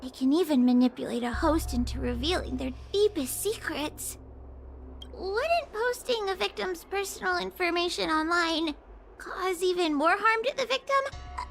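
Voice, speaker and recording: A woman's voice: very high voice, expressive, moderate pace, gravelly, a bit bright, a little hiss or hum, heavily acted. A woman voicing a timid, conscientious young girl in a video game, speaking hesitantly, carefully and politely. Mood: hesitant, concerned. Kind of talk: voiced game dialogue.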